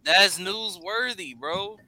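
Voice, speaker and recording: A laid-back man bantering with friends on a podcast, tossing "bro" into nearly every line. His voice is very bright and sharp, pitched a little high. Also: takes it slowly. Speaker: podcast co-host. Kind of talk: casual group banter.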